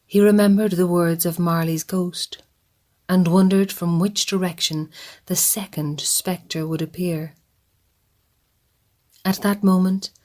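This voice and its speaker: A woman narrating a radio play in a measured, husky, often half-whispered voice. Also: on the low side. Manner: measured, hushed.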